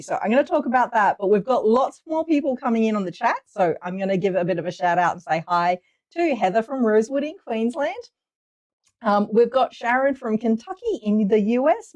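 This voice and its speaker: A bubbly woman with a slightly high voice hosts a relaxed livestream, greeting viewers one by one. She is getting over a cold but stays chatty and cheerful. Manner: chatty and upbeat, reading viewer names aloud. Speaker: livestream host.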